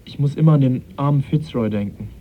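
An actor playing an earnest, middle-aged male naturalist in a German radio play, measured and educated. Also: mid-range voice, narrow, tinny audio, slight background noise, natural voice. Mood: pensive, concerned. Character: earnest, conscientious male naturalist and lecturer, troubled.

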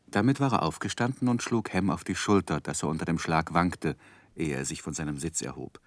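An actor playing a reflective gentleman narrator recalling his youth in a 1960s radio play, measured and literary. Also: deep voice.

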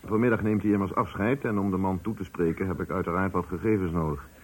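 An actor playing a hard-nosed, pompous factory director in a radio play, condescending and scornful of his workers, with a husky voice. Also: deep voice, fairly even intonation, fast. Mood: smug, scornful. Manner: pompous, condescending, rhetorical questioning. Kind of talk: Dutch radio play acting.

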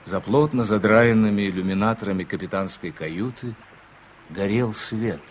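A middle-aged man narrating an old radio drama in a measured, solemn manner. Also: lowish voice, slight background noise.

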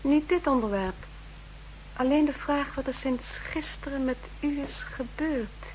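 An actress playing a serious, perceptive young secretary in a 1960s radio drama, reserved, formal and dryly rebuffing. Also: female voice, high-pitched, measured pace, darkish tone, a little hiss or hum, poor audio. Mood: reserved, probing.

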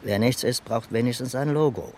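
An actor playing a dry, laconic man in a German radio crime drama, deadpan and measured. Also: mid-range voice, slight background noise, close to an everyday voice. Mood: dry, probing. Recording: faint background ambience.

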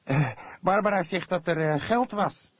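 A man's voice: high voice, a little slow, husky, no echo, voice pushed up. A man voicing a hesitant young police detective in a detective audiobook.

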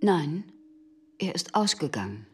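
A woman's voice: low-pitched, sing-song, takes it slowly, faint music behind, exaggerated voice. An actress playing a guarded, stony female shopkeeper in a radio drama, answering tersely and impassively. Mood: guarded, indifferent. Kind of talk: German radio drama acting.

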